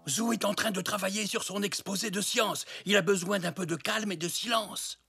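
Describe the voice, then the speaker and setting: A man's voice: high-pitched, steady tone, moderate pace, creaky, bright, forceful tone, slightly rough, soft background music, heavily acted. A man voicing a kindly, encouraging zebra grandfather in a children's cartoon, with an indulgent manner. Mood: gentle. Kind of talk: children's cartoon dub acting.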